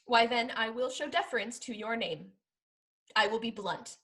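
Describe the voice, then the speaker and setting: A woman playing a spirited, teasing young gentlewoman in a Restoration comedy, with arch, emphatic theatrical delivery. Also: a little high, normal speed, natural voice. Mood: threatening. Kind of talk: theatrical period comedy acting.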